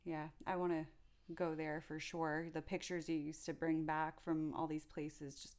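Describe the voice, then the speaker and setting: Young woman host, slightly low voice. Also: flat delivery, a little slow, darkish tone. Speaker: podcast host. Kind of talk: casual interview.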